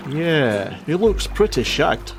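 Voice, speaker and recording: A man acts a henpecked fish seller in a radio play, full of complaint. His voice is fairly high for a man and rises and falls in an animated way. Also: slow pace, soft background music, exaggerated voice. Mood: matter-of-fact. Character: grumbling henpecked husband hawking fish on a beach. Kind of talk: amateur radio play acting.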